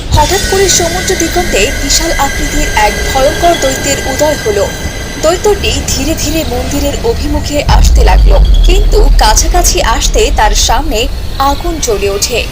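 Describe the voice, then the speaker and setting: High-pitched woman narrating over music. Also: expressive, moderate pace, poor audio. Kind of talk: scripted storybook narration.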